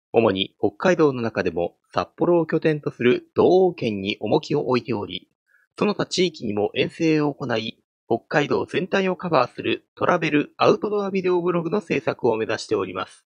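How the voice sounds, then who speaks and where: Man, husky voice, lively varied pitch, mic-test delivery, desk microphone. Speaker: video creator testing a voice changer. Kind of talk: mic test and reading a prepared text aloud. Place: small cluttered room at a desk.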